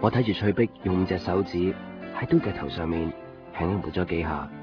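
An actor playing a gentle, teasing, devoted young man in a Cantonese radio drama, quiet and understated, with a Hong Kong accent. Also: low-pitched, brisk, lightly acted. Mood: tender. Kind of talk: Cantonese radio drama acting, low-key and natural. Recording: dull lo-fi 1990s radio recording. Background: faint music.

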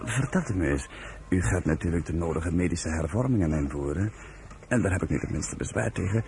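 A genial professor in a radio play, idealistic and earnest. His voice is very deep and creaky, with lively intonation, over a noisy recording with crowd ambience. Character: genial professor leading a kibbutz children's village, earnest idealist. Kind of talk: Dutch radio play acting.

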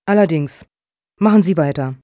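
A woman's voice: low-pitched, moderate pace, mellow, no echo, clean studio sound, lightly acted. An actress in a satirical radio play as a prim, officious woman on a foundation's jury, speaking formally.

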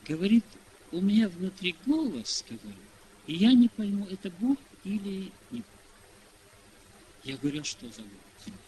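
A man's voice: high-pitched, very animated, moderate pace, pauses often, mellow. A man preaching in a conversational storytelling style, asking rhetorical questions and acting out bits of dialogue, on a hissy recording. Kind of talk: sermon told through anecdotes and dialogue. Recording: hissy, noisy amateur audio recording.

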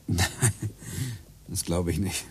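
A man's voice: sits low, level tone, very slow, noisy background. An actor playing a middle-aged husband in a radio play, earnest and eager as he lectures his wife about hidden connections. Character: obsessive husband hunting coincidences.

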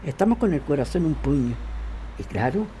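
A woman playing a worried, worn-down working grandmother in radio drama dialogue, with a husky, dark voice. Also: low-pitched, lively intonation, brisk, a little hiss or hum. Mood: anxious. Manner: weary, anxious.

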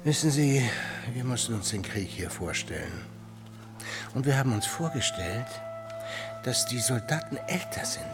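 An actor as an urbane infantry colonel in his forties. He talks courteously and reflectively, with very mobile pitch, over clear music in a radio drama. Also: animated, normal speed, natural voice. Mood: musing, troubled. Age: 40s. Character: courteous, musing infantry colonel aged forty-three. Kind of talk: radio play acting.

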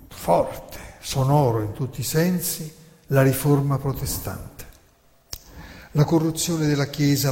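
A man delivers a theatrical historical monologue in a deliberate, emphatic, rhetorical way with dramatic pauses. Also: medium pitch, darkish tone, a little hiss or hum. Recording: long hall reverb.

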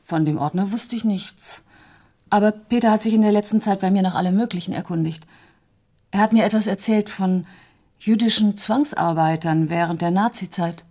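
A woman plays a reserved, grieving character in a radio drama. Her medium-pitched voice is restrained and a little hesitant as she talks. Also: moderate pace, dark tone, close to an everyday voice. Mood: matter-of-fact. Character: reserved woman, quietly grieving, answering an investigator.

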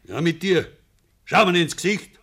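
Gruff, stubborn Bavarian farmer. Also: male voice, high-pitched, lively intonation, measured pace, a bit bright, full character voice. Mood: angry, demanding. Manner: gruff, blunt, commanding. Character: stubborn, hot-tempered Bavarian farmer, a widowed father. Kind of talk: German radio drama acting in dialect.

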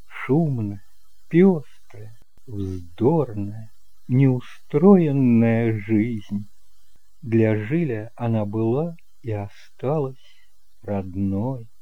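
A man narrating romantic fiction as an audiobook, telling the story in a measured, expressive way. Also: mid-range voice, phone-quality sound.

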